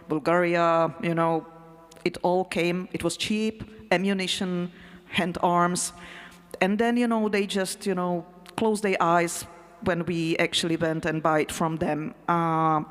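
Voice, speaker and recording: A woman, an English speaker, talking casually and haltingly as a panel speaker in a matter-of-fact way. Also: lowish voice. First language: not English. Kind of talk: role-played presentation as a crime boss to a live audience. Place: festival hall. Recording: echoey hall sound.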